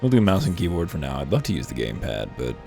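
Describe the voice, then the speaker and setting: A man's voice: extremely low pitch, sing-song, fast talker, creaky, darkish tone, faint music behind. A man hosting a video game playthrough with casual, wry commentary and dry humor.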